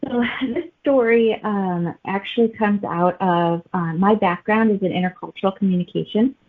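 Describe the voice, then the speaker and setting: A woman author answering interview questions conversationally, pausing often in the middle of phrases. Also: mid-range voice. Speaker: author guest. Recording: muffled phone-like narrow-band audio.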